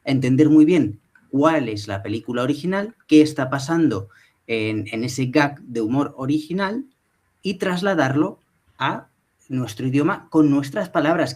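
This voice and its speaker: Brief: man on a dubbing panel, emphatic, questions the audience. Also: medium pitch. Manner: animated, emphatic, rhetorical questions. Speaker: dubbing professional. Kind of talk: panel talk at a professional meeting.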